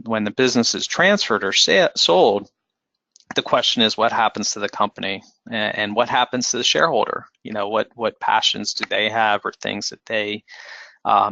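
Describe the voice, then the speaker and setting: A man presenting a webinar as a financial advisor, explaining in a measured way with frequent fillers and restarts. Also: lowish voice, gravelly, sharp tone, dry recording. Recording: dull lo-fi webinar audio.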